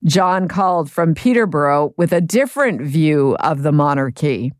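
A woman host presenting a radio talk show, introducing guests and callers in a measured, announcing manner. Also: low voice, lively intonation, no echo, studio quality.

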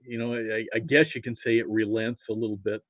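An American man from Nebraska chatting casually about sports as a guest, haltingly repeating words as he thinks. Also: on the low side, level tone, no room sound. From Nebraska, United States. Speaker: podcast guest, sports fan. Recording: muffled phone-like line.

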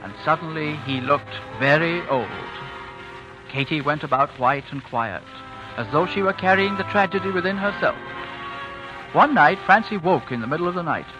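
Higher-pitched male storyteller over music. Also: lively intonation, normal speed. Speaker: host and narrator. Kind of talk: scripted radio drama narration. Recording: dull 1940s radio broadcast.

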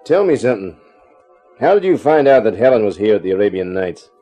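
An actor playing a wisecracking, sardonic private detective with slangy, terse delivery in a 1950s radio crime drama. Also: male voice, on the low side, big pitch swings, brisk, mellow, faint music behind. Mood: wary. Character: wisecracking, hard-boiled male private detective. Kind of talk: 1950s radio detective acting with first-person narration. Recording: dull old radio recording.

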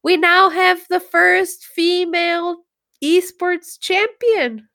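A woman's voice: extremely high pitch, fairly even intonation, slow, vocal fry, sharp tone. A woman in her thirties with a slightly nasal voice, co-hosting a podcast in a playful, chatty, casual way. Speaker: podcast co-host.

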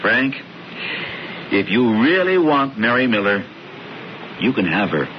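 An actor playing a smooth, persuasive older brother in a radio drama, with theatrical, emphatic, deliberate phrasing. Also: male voice, medium pitch, sing-song. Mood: insistent. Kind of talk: 1970s radio drama acting. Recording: dull, noisy old radio broadcast.

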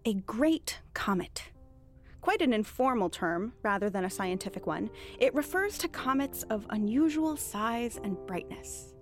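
A woman's voice: a little high, animated, moderate pace, few pauses, faint music behind, lightly acted. An actress playing a cunning, bookish thief posing as a witch in an audio drama, articulate, mock-courteous and teasingly self-assured. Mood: sly, explanatory. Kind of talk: audio drama acting, period dialogue.

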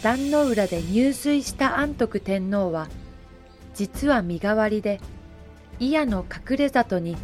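A woman reading scripted, explanatory narration for a historical audio drama in a measured way. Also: mid-range voice, animated, darkish tone, soft background music.

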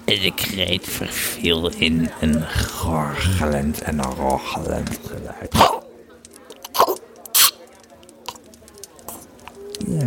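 A man playing a Roman legionary who grimly recounts camp intrigue in an audio drama, deliberate and often hushed. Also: extremely low pitch, gravelly, background noise, heavily acted. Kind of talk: first-person audio drama storytelling.

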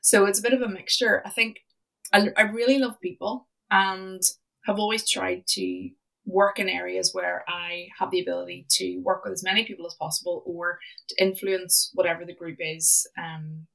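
A woman's voice: on the low side, level tone, moderate pace, vocal fry, fairly bright tone, distant mic, poor audio. A woman with a Northern Irish accent, a podcast guest chatting casually about her career with lots of ums and restarts. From Northern Ireland. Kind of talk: casual interview chat.

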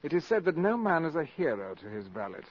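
A smooth, scheming valet, vintage radio. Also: male voice, a little high, big pitch swings, fast talker. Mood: bold, intimate. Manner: formal, deferential yet self-assured. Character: smooth, calculating, ambitious valet addressing a countess. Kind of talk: 1950s American radio drama acting. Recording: muffled vintage 1950s radio recording.

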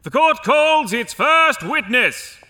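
An actor playing a pompous, imperious young judge in a fantasy audio drama, commanding and officious. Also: male voice, very high-pitched, sing-song, slow pace, bright, forceful tone, exaggerated voice.

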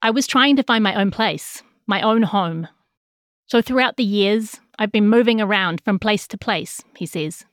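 A woman's voice: medium pitch, normal speed, no echo, clean studio sound. A woman with a New Zealand accent reading a scripted news feature as a journalist. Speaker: journalist narrating a feature story. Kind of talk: scripted narration.

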